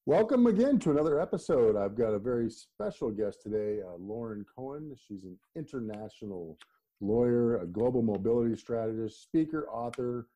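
A man hosting a casual podcast interview, talking in a halting, conversational way with frequent restarts. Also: mid-range voice, animated, dark tone, lo-fi sound. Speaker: podcast host.

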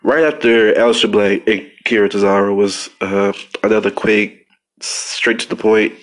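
A man with a somewhat low voice and a stuffy, congested nose hosts a casual podcast. He rambles in an offhand way, restarting sentences and leaning on fillers as he talks things through. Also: fairly even intonation, moderate pace. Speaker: podcast host. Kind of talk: casual wrestling review podcast.

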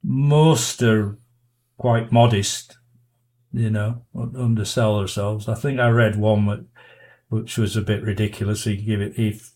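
An older man from northern England with a Yorkshire accent and a fairly low voice. He is a guest on a podcast, looking back casually on his life. His delivery is halting and self-effacing. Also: fairly even intonation, a little mellow. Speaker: guest, diver and author. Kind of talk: casual podcast interview, reminiscing.

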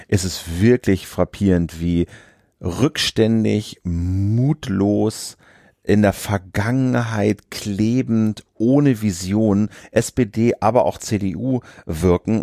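A man's voice: on the low side, no room sound, studio quality. A man co-hosting a political podcast as a journalist, talking casually with many fillers. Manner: relaxed, conversational, frequent fillers.

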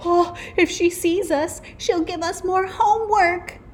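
A woman voicing worried, imaginative schoolchildren in a storybook, excitable and wide-eyed. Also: high voice, slow pace, a little hiss or hum, pitched above the performer's own voice.

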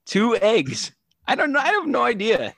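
A man's voice: huge pitch swings, moderate pace, creaky, a bit bright, no room sound. A young man co-hosting a casual podcast, telling stories in a laid-back way with lots of filler words. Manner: laid-back, many "like" fillers, low-key delivery. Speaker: podcast co-host. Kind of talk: casual storytelling chat.

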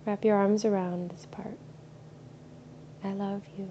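A woman guiding a meditation in a slow, soothing, soft-spoken way. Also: medium pitch, level tone. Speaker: meditation guide. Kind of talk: guided meditation. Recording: slightly dull recording with faint ambient noise.

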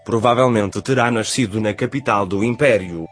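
A man reading a history book aloud, steady and formal, slowing to recite dates and sources. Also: on the low side, faint music behind. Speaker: narrator.

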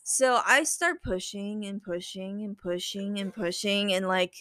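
A woman's voice: mid-range voice, unhurried, sharp tone, a little hiss or hum. A young adult woman telling a personal story as a guest, in a chatty, casual way with lots of "like" and quoted dialogue.

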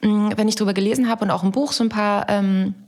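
Woman, podcast host, thoughtful questions, hesitant fillers, mid-pitched voice. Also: fairly even intonation, fast, a little mellow. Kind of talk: interviewing a guest.

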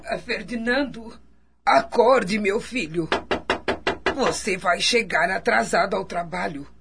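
A creaky-voiced, fussing radio drama mother. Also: medium pitch, takes it slowly, loud music behind the voice. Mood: fussing, concerned. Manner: tender, fussing. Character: caring, worried mother of a grown son. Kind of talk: radio soap opera acting.

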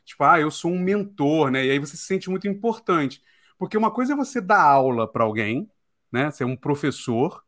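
Opinionated Brazilian man, slightly high voice. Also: sing-song, moderate pace, fairly bright tone. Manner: opinionated, emphatic, keeps asking "né?". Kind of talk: casual podcast discussion.

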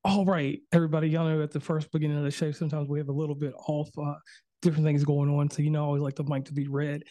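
Male podcast host, mellow tone, dry close sound, easygoing and chatty. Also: on the high side, little pitch movement, very fast, no room sound. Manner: loose, chatty, drawling with fillers. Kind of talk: casual interview over video call. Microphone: video call.

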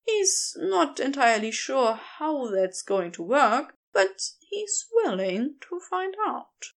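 A woman reading scripted fiction as a podfic narrator, in an expressive, deliberate storytelling manner. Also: high voice, creaky.

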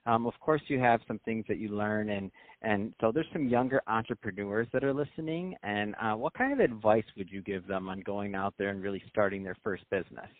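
A man's voice: lowish voice, fairly quick, no room sound. A man hosting a business podcast, introducing the show and guest in an enthusiastic, promotional way. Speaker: podcast host. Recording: dry, phone-like sound.

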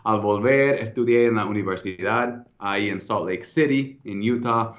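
A man in his thirties with an American English accent, speaking Spanish as a second language as a guest in a casual interview, relaxed and hesitant, with frequent ums and restarts. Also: fairly low pitch, moderate pace, muffled recording. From United States. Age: 30s. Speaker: guest entrepreneur.

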